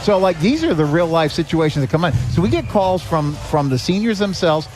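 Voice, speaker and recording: Older american guy being interviewed, earnest storyteller, voice a bit high, music playing behind him. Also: expressive, fairly quick, darkish tone. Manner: earnest, anecdotal, acts out quoted dialogue. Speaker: guest, executive director of a senior help organization. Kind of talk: conversational podcast interview. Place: live podcast at a restaurant.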